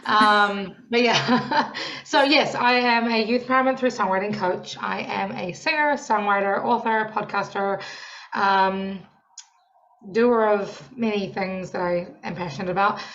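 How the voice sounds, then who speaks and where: A young woman with a New Zealand accent chats as a podcast guest, loud and lively, quick to laugh. Her voice sits at a middling pitch. It comes through a slightly dull, lo-fi remote line. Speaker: podcast guest, songwriting coach. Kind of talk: casual interview chat.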